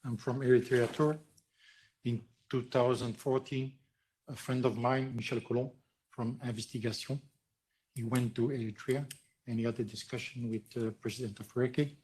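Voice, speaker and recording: A man making a halting, emphatic political statement in short broken phrases. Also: medium pitch, fairly even intonation, darkish tone, a little hiss or hum, low-quality recording. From Belgium. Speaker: audience commenter, tour organiser. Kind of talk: impromptu political statement at a roundtable.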